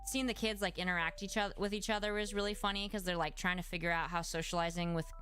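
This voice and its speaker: A woman's voice: on the low side, steady tone, fast, fairly bright tone. A young adult woman, a speech-language pathologist, chatting casually on a livestream and answering viewers, with lots of "like" fillers and restarts. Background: background music.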